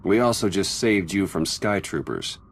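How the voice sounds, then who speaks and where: A man's voice: deep voice, lively intonation, normal speed, slightly rough, full character voice. An actor playing a wry, easygoing male spy companion in a video game, with a casual manner.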